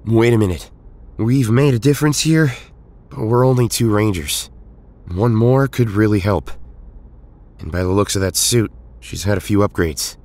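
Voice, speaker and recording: A man acting in an audio drama as an earnest hero and his cold tyrant double, with a gravelly, husky voice. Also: fairly low pitch, normal speed, darkish tone, lightly acted. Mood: earnest, uneasy.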